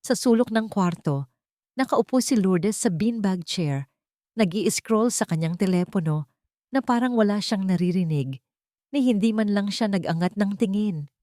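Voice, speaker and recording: A woman's voice: medium pitch, expressive, normal speed, warm tone, no echo, studio quality. A woman with a Filipino accent reading a dramatic first-person story as an audiobook narrator.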